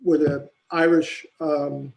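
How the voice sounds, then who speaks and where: American guy on a video call, voice a bit high, rambles and says you know a lot. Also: flat delivery, slow, creaky, a little mellow, soft background music, poor audio. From United States. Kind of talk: casual chat on a video call.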